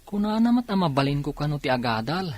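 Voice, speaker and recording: An actor with an Ilocano accent playing a dutiful, patient young eldest son from a poor farm in a radio drama, earnest and respectful. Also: male voice, a little high, big pitch swings, quick pace, slight background noise. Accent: Filipino, Ilocano.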